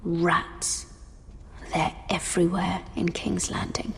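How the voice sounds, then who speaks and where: A woman's voice: on the low side, little pitch movement, takes it slowly, creaky, a little hiss or hum, exaggerated voice. A woman voicing a young noblewoman alone in a grim dungeon, murmuring warily to herself. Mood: uneasy. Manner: hushed, wary murmuring to herself. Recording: echoey game dungeon reverb.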